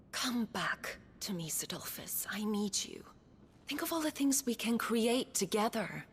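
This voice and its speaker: A woman playing a proud, seductive noblewoman in a video game, speaking in a haughty, breathy, theatrical way. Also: medium pitch, slight background noise, lightly acted. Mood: pleading, seductive. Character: proud, seductive noblewoman devoted to her liege, bitter and wounded. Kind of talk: dramatic video game acting.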